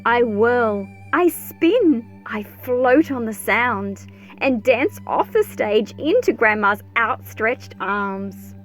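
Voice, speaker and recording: High-voiced woman reading a storybook, music behind. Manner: expressive, measured storytelling. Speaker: picture book reader. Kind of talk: scripted storybook read-aloud narration. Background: background music.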